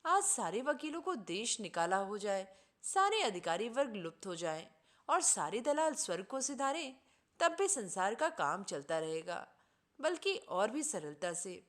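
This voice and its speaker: A woman's voice: mid-range voice, very animated, unhurried. A woman voicing an indignant, idealistic man in a storybook reading, speaking in an earnest, formal, oratorical way. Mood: earnest, reasoning. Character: idealistic, indignant man preaching justice and selfless service.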